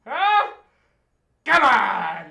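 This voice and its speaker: A woman's voice: fairly high pitch, very animated, very slow, creaky, pushed and bright, exaggerated voice. A woman voicing a hearty male sidekick in a sock puppet movie parody. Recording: echoey room.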